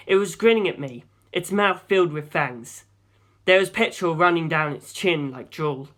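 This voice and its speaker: A man's voice: fairly high pitch, a bit bright. A man reading a first-person story aloud as an audiobook narrator.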